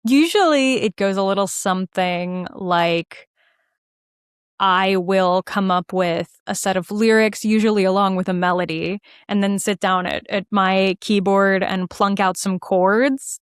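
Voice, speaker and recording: A woman speaking as an interview guest in a casual, animated, informal way. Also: mid-range voice, measured pace, clean tone, dry recording, clean studio sound. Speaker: guest, musical theater writer and performer. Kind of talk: casual conversational interview answers.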